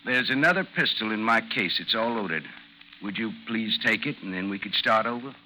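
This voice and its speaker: A sharp, taunting gentleman duellist. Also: lowish voice, expressive. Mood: mocking. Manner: formal, mocking, deliberate. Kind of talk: 1950s radio drama acting. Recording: noisy 1950s radio recording, slight hiss.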